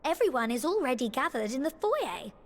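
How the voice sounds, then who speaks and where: A woman's voice: sits high, very animated, brisk, exaggerated voice. A woman playing a chirpy, bustling little guild receptionist in a fantasy video game. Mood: brisk, cheerful. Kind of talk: video game cutscene acting.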